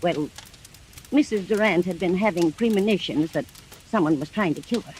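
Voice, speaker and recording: An actress plays an anxious woman caregiver in an old radio mystery. She testifies earnestly and deliberately, ready to accuse, over a faint layer of background noise. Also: fairly low pitch, a little mellow, lightly acted. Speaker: witness in a mystery drama.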